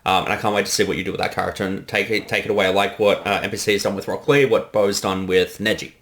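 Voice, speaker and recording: Low-voiced man giving director's commentary. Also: flat delivery, fast, fairly bright tone, slight background noise. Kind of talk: casual behind-the-scenes commentary.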